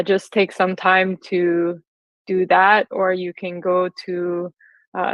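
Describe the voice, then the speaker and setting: A woman in her twenties with an American accent, giving a conversational presentation to an audience as a guest speaker. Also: mid-range voice. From California, United States. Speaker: guest speaker, software engineer. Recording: slightly roomy, dull lo-fi sound.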